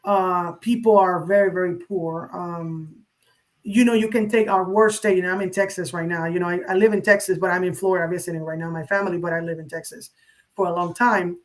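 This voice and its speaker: A woman's voice: on the low side, fairly even intonation, fairly quick. A woman speaking as an interview guest, emphatic and opinionated, addressing the listener directly in a conversational way. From San Juan, Puerto Rico. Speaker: interview guest, social media crypto educator.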